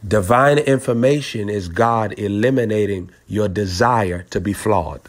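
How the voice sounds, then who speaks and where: A man preaching in a prophetic teaching style, deliberate and aphoristic, repeating phrases for emphasis. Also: lowish voice. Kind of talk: prophetic teaching over a phone call.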